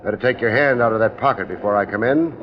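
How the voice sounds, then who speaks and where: An actor playing a wry, hard-boiled private investigator in a 1950s radio drama, with a dry, laconic delivery. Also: male voice, lowish voice, fast, background noise, natural voice. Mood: cool, accusing. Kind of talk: 1950s radio detective drama acting and narration. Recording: dull 1950s radio recording, music behind.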